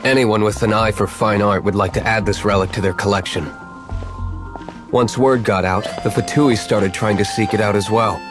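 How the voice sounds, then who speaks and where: Man as a low, deadpan young aristocrat. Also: moderate pace, music in the background, natural voice. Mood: measured, dry. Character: cool, aloof young wealthy winery owner, dry and guarded. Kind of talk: video game voice acting.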